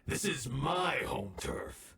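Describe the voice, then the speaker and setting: An actor playing a theatrical, taunting villain who schemes and gloats in a video game. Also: male voice, fairly high pitch, lively intonation, slow pace, a bit bright, reverberant, far from the mic, a little hiss or hum, heavily acted. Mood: gloating, taunting.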